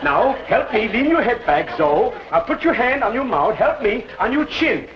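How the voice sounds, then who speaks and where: An actor playing an excitable, fussy male dentist with a put-on German accent who gives bossy, sing-song orders and chuckles as he talks. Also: very high voice, normal speed, some chatter behind. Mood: coaxing. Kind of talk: vintage comedy sketch. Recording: dull lo-fi old recording with hiss.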